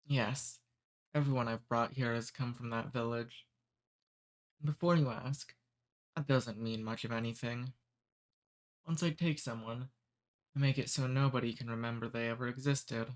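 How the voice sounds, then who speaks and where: Man playing a polite male demon, amused and teasing, soft unhurried ASMR delivery, mid-pitched voice, storybook roleplay. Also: steady tone, lightly acted. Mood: amused cordial. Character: cordial, amused male demon who abducts humans.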